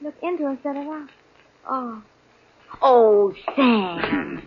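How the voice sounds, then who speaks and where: A naive, sweet young secretary in vintage radio. Her voice is high and very lively, girlishly puzzled, over a noisy old recording. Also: takes it slowly, highs cut off, heavily acted. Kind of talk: 1940s radio comedy-drama acting.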